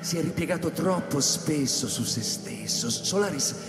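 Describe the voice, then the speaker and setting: An actor playing an anguished, feverish space-station scientist in a radio drama, stammering repetitions and swelling into theatrical declamation. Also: male voice, on the high side, animated, moderate pace. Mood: insistent. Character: Kris, anguished, feverish male space-station scientist musing on an imperfect God. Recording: echoey hall reverb under clear music. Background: live electronic and flute music.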